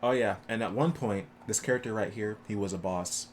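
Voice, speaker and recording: A man streams a game with a fairly low voice and an easygoing, explanatory manner. His commentary is casual and live, over clearly audible noise from the game. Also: a little fast.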